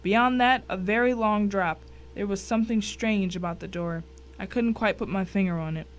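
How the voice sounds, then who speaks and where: Female narrator, average pitch, scripted literary storytelling for an audio drama. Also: moderate pace.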